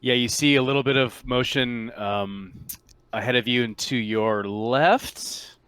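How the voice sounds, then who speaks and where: A man running a tabletop roleplaying game as game master, describing scenes casually in halting phrases. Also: mid-range voice, a bit bright, clean tone, dry recording.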